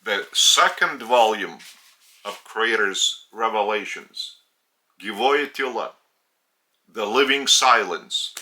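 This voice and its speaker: Slow, bright-voiced Lithuanian-accented man teaching. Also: on the low side, animated, low-quality recording. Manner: deliberate, halting short phrases. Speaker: spiritual teacher. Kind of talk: religious teaching talk.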